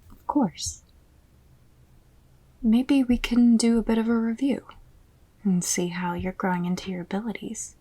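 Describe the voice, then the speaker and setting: An actress playing a determined, loyal fortune-teller in an audio drama, speaking in a hushed, matter-of-fact, naturalistic way. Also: female voice, on the high side, fairly even intonation, measured pace, long pauses. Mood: practical. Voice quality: husky, breathy. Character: determined, loyal female fortune-teller joining a dangerous mission. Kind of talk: audio roleplay acting, naturalistic.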